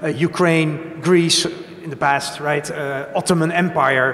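A middle-aged man from the Netherlands with a Dutch accent, a guest on a live talk show stage, explaining with many pauses, fillers and tag questions like "right?" into a handheld mic. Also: a little high, fairly even intonation, fairly bright tone. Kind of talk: onstage talk show conversation. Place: small gallery stage before an audience. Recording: echoey hall with long reverb.

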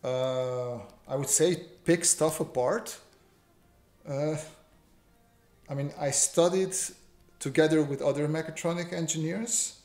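A man's voice: a little high, a little mellow. A man, chatting casually and informally as he hosts a drawing livestream. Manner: informal, reads viewer questions aloud and answers. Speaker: livestream host, illustrator. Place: small indoor home room. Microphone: desk microphone on improvised stand. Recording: roomy echo, faint background noise.

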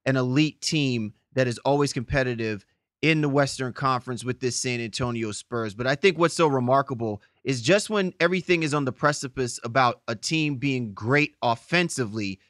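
A man's voice: mid-range voice, moderate pace, a bit bright, no room sound, clean studio sound. A man hosting a sports podcast in an effusive, informal, conversational way. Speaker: podcast host.

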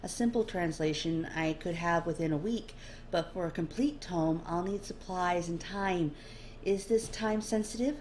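A woman's voice: lowish voice, moderate pace, darkish tone, lightly acted. A woman voicing a polite, self-deprecating female elven linguist in a storybook reading. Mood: modest, earnest. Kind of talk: storybook character dialogue. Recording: faint background noise.

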